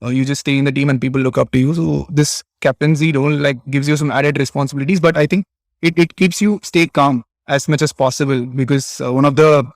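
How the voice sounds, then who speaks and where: A man's voice: medium pitch, fairly even intonation, a little fast, no echo, clean studio sound. A young man from southern India with a Tamil-region Indian English accent, answering interview questions hesitantly, with many fillers and restarts. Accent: Indian English, Tamil Nadu. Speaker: interview guest, cricket player.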